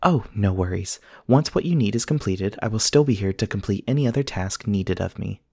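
A man playing a polite, earnest companion robot in an ASMR roleplay, speaking in formal, measured, precise sentences. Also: lowish voice, expressive, creaky, darkish tone, no room sound, studio quality. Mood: courteous, helpful. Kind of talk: scripted ASMR roleplay acting.

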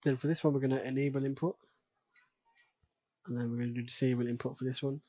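A man's voice: medium pitch, little pitch movement, fast, dark tone, no room sound. Dull-sounding man casually narrating a tutorial. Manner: casual, thinking aloud step by step. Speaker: tutorial presenter. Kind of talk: unscripted screen-recorded software tutorial narration.

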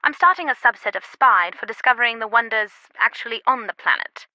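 Casting: female game character, loyal secretive confidante, earnest and measured, fairly high crisp bright voice, dry close sound. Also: animated, no room sound, rough recording. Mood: calm, informative. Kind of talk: video game voice acting.

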